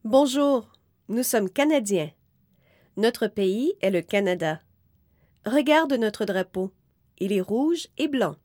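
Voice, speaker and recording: A woman reading a children's book aloud slowly and clearly, in careful, evenly spaced phrases. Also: a little high, animated, darkish tone. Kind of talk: slow, clear read-aloud for young learners.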